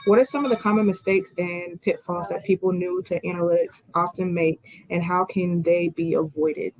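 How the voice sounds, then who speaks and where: A woman's voice: lowish voice, animated, moderate pace, a little mellow, low-quality recording. A woman student asking questions on a podcast call from her workplace, nervous and giggly.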